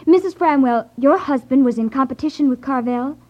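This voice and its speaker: An actress playing a plucky, sarcastic young sidekick in 1950s radio drama style. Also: female voice, sits high, a little fast, a little mellow, lightly acted. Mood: wry. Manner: pert, indignant, wry. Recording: dull 1950s radio recording.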